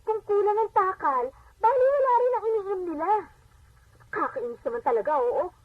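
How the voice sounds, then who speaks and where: A haughty Filipino daughter and mother, speaks in a high voice with bossy exasperation. It is radio drama acting full of scolding. The audio is dull and lo-fi, like an AM playback. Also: very animated, normal speed, dry recording. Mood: scolding, irritated. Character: haughty, irritable adult daughter and working mother.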